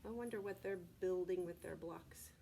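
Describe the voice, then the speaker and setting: A woman preschool teacher reading aloud to young children in a deliberate, child-directed way, often asking prompting questions. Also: on the low side, vocal fry, mellow, slight background noise. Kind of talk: read-aloud and sing-song circle time for young children.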